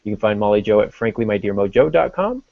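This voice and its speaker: A man with a fairly low voice and plenty of vocal fry hosts a podcast. He talks informally and conversationally. The sound is dry and a little dull. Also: big pitch swings, fast, no room sound. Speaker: podcast host. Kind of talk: casual podcast hosting. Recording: dull lo-fi audio.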